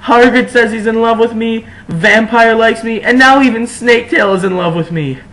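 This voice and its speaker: A man voicing a melodramatic, vain, foul-mouthed teenage goth girl in a whiny, indignant shout for a story reading. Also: very high-pitched, moderate pace, voice pushed up, poor audio. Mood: whiny, anguished.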